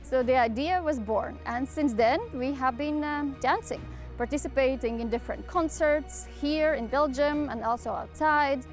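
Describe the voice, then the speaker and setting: A woman from Latvia speaks about her folk dance group in a high, clear voice. She explains things in a relaxed interview manner, pausing on an "uh" now and then. Light music sits in the background.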